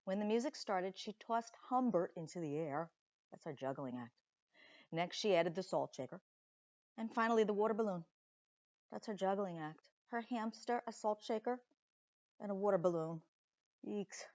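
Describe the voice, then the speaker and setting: A woman reading a children's story aloud expressively, with deliberate dramatic pauses and chatty asides to young listeners, like a teacher. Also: medium pitch, expressive, a little mellow, dry recording, dull audio.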